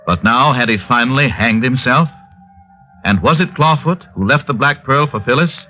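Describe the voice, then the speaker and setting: A man's voice: medium pitch, lively intonation, moderate pace. A man announcing in a dramatic, scripted 1940s radio style. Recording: dull 1940s radio recording. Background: music.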